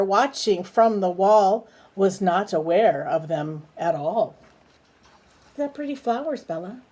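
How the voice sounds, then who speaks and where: A woman's voice: mid-range voice, a little mellow. A woman reading a children's picture book aloud, breaking off for chatty, coaxing questions to a small companion. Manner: unhurried, asks simple coaxing questions. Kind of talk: children's read-aloud with chatty asides to a bird.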